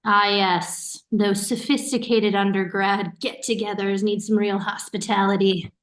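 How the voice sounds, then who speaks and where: A woman's voice: medium pitch, flat delivery, measured pace, lightly acted, low-quality recording. A woman acting in a naturalistic play reading as a caring, teasing and watchful sister. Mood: teasing, casual. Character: caring, teasing, suspicious sister of a young man. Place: remote online staged reading.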